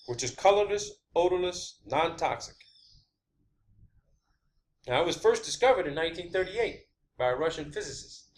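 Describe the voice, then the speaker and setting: A man hosting an informal theorizing monologue, speaking in a halting, repetitive and emphatic way with blunt wording. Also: a little high. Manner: blunt, swearing. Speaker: video host.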